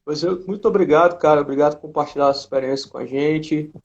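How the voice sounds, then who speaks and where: A man with a noticeable fry in his voice hosts an informal online chat. He talks in halting, conversational phrases and often tags them with a small question. The audio is a little dull, like a compressed live stream. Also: a little high. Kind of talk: casual live interview chat. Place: remote live video chat on social media.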